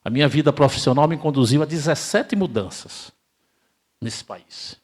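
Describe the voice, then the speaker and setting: An older man from Brazil giving an emphatic spiritist lecture, asking rhetorical questions and swinging between loud and hushed delivery. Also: a little high, moderate pace. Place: spiritist center hall, live audience.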